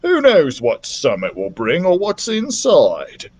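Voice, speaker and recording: A man voicing a rowdy, bullying smuggler from a children's storybook, shouting and jeering to egg on his crew. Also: fairly high pitch, wildly swooping pitch, slow pace, exaggerated voice. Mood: rowdy, greedy. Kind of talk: dramatic children's storybook reading.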